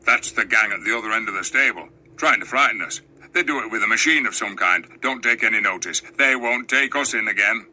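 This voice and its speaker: A man putting on a surly, fast-talking storybook dwarf. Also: on the low side, pushed and bright. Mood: suspicious. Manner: scornful, colloquial, indignant. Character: surly, suspicious, quarrelsome male dwarf. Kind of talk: dramatized storybook reading.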